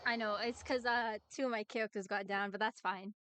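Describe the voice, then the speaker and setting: A woman's voice: medium pitch, fairly even intonation, a little fast, a bit bright, smooth, dry recording. A woman game streamer chatting casually as she thinks aloud through her moves and reacts with exclamations. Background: music.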